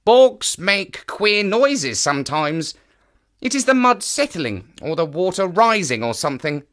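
An enthusiastic male naturalist guides a visitor across the moor, as a man acts him out in an audio drama. His voice leaps about wildly in pitch and carries a bright, forward edge. He explains with keen, knowing animation. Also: big pitch swings, unhurried, heavily acted. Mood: musing. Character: eager male naturalist of the moors, lively and knowing.

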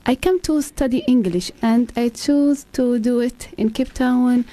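A young woman in her twenties from Saudi Arabia, speaking English as a second language with an Arabic accent as a radio guest, halting and searching for words. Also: fairly high pitch, steady tone, warm tone. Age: 20s. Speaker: guest, exchange student. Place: radio studio.

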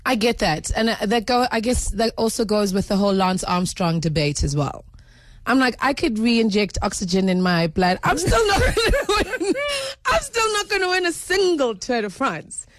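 A woman's voice: fairly high pitch, lively intonation, normal speed, dry recording. South African woman hosting radio, dry studio sound. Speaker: radio host. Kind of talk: conversational radio interviewing.

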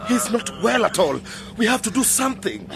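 A man's voice: sits high, sing-song, a little fast, full character voice. An actor playing a worried male peacemaker in a radio drama, nervous and repeating himself as he pleads. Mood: panicked. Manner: nervous, stammering repetitions. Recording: clear background noise.

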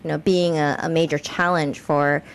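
A woman co-hosting a podcast and casually putting questions to guests in a halting, conversational way. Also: low-pitched, fairly even intonation, clear, resonant tone. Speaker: podcast co-host, interviewer.

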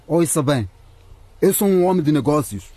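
Radio drama heavy: stern, menacing businessman, slightly high-set husky voice, dry studio sound. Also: lively intonation, dark tone, dry recording. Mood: stern menace. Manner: stern, threatening, deliberate. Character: menacing businessman, cold, impatient, paying off a blackmailer.

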